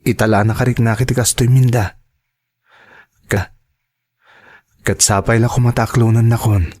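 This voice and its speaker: A man acting as a devoted male suitor with a mid-pitched voice and an Ilocano accent. His radio drama delivery is tender and emphatic, full of reassurance. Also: steady tone, normal speed, a little mellow, close to an everyday voice. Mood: tender, pleading.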